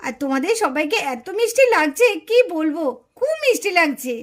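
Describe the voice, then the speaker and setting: A woman performs a cheerful auntie doll from a children's puppet cartoon, pitched extremely high. She chats and instructs in a bossy yet kind way, exclaiming as she goes. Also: big pitch swings, fairly quick. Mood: bright, encouraging.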